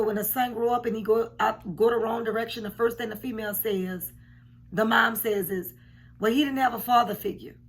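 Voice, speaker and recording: A woman in her forties, a bit hoarse, giving frank, emphatic commentary from her home, repeating points for weight. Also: a little high. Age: 40s. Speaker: commentary vlogger. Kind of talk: casual reaction commentary. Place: home room indoors. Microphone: camera at desk distance.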